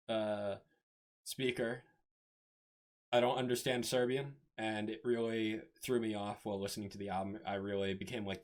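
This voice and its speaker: A young man co-hosting a podcast, giving his measured opinion in casual chat. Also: medium pitch. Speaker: podcast co-host. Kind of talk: casual music review chat.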